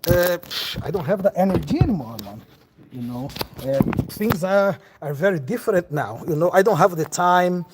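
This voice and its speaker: A Brazilian-accented man in his forties talks casually to his viewers, swinging from old stories to answering their comments. His voice sits high for a man. He is picked up by a phone lying on the floor of a wide warehouse, with faint background noise. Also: expressive, moderate pace. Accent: Brazilian Portuguese. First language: Portuguese. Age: 40s. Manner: storytelling, chatty, reacts to viewer comments. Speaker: vlogger. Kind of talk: casual livestream chat. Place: large open warehouse, indoors. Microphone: phone camera set on the floor.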